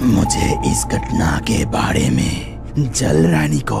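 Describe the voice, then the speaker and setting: A man's voice: extremely low pitch, lively intonation, slow, creaky, exaggerated voice. A man voicing a suspicious serpent king of the sea in a cartoon. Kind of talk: cartoon character acting. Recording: music behind the voice.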